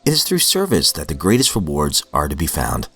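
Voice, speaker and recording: A man with a very low voice reads recovery texts and then reflects on them in an earnest, unhurried way. He sounds a little sniffly from a cold. Faint music plays beneath him. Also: wildly swooping pitch, a bit bright, breathy edge. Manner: earnest, reflective, with fillers and restarts. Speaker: podcast host reading daily recovery texts. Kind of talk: reading aloud then casual reflection.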